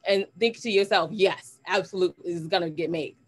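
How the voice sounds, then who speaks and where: A woman's voice: lowish voice, brisk. A woman co-hosting a show in casual chat, responding and asking questions. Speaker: podcast co-host.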